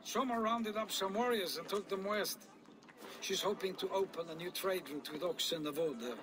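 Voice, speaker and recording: An actor playing a courteous, troubled Viking town deputy in a video game, speaking earnestly and ruefully. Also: male voice, high-pitched, moderate pace, over music, natural voice, rough recording. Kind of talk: dramatic video game acting.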